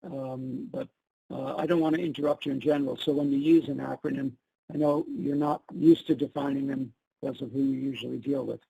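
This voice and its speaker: Gravelly Brooklyn man with a husky voice. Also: mid-range voice, fairly even intonation, brisk, dark tone, rough recording. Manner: explains slides step by step, asks for plain terms. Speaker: petitioner presenting slides. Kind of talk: public meeting presentation. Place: online public meeting.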